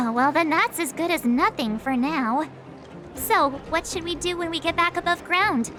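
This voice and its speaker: An actress playing a perky, upbeat young woman adventurer in a video game, chatty and expressive. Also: very high-pitched, a little slow, soft background music. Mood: puzzled, musing. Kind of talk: animated video game dialogue.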